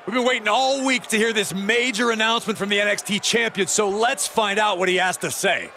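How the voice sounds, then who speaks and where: An actor playing an excitable, argumentative wrestling commentator in a video game. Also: male voice, high voice, a little fast. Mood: hyping. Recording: noisy arena ambience behind.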